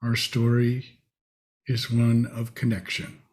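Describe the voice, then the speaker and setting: A man leading a guided meditation, speaking in short, spacious phrases with long pauses. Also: mid-range voice, completely flat delivery, darkish tone.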